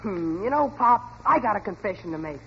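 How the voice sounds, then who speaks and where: A man's voice: sits high, expressive, brisk, creaky. A young man playing an eager, cocky teenage son in a 1940s radio sitcom. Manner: eager, earnest, boyish. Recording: dull, hissy old radio recording.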